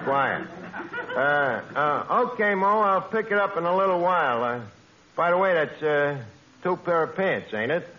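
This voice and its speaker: An actor playing a brash, scheming, lowbrow tavern manager with a New York accent and would-be fancy words, in 1940s radio comedy style. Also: male voice, animated, a little slow. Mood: cocky, wheedling. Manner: mangled grammar with pretentious fancy words, hemming. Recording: dull old radio recording, noisy.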